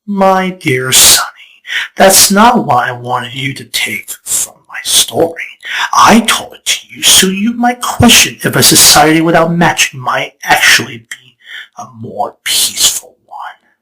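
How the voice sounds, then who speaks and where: A man's voice: fairly high pitch, very animated, sharp tone, heavily acted, low-quality recording. A man voicing a scheming, theatrical male chaos spirit in a fan comic dub. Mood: wistful. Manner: dramatic, teasing, slow and deliberate. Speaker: storyteller figure.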